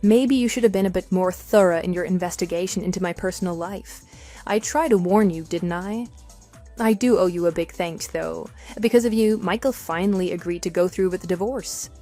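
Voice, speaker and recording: A woman's voice: fairly low pitch, brisk, warm tone, soft background music, close to an everyday voice. A woman playing a composed, matter-of-fact wife with a dismissive edge in a scripted audio drama. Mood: wry, mocking. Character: composed, wry wife divorcing her cheating husband.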